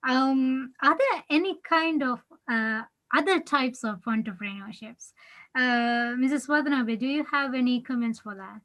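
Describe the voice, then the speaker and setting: A woman's voice: sits high, measured pace, a bit bright. A woman moderating an online panel discussion, talking loosely with many fillers.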